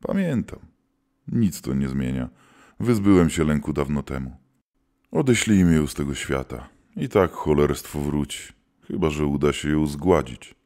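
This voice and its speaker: Male actor as gruff, weary monster hunter: extremely low voice, lively swings, audio drama. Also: a little slow, exaggerated voice. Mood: grave, weary. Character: gruff, world-weary demon hunter, keeper of old gods. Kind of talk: scripted audio drama storytelling.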